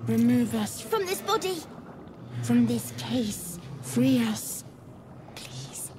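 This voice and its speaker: A woman voicing an eerie, needy newborn brain creature in a video game, pleading in halting fragments. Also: fairly high pitch, big pitch swings, background noise. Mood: hushed, desperate.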